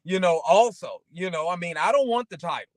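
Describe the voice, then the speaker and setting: High-pitched man in casual motivational chat. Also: animated, fairly quick, no room sound. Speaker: talk show co-host.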